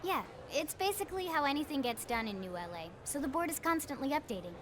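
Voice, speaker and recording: A woman's voice: high-pitched, expressive, quick pace, background noise. An actress playing a perky, eager young woman guiding a tour in a video game, with a bubbly, playful, pushy manner. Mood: upbeat, helpful. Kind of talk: video game cutscene acting.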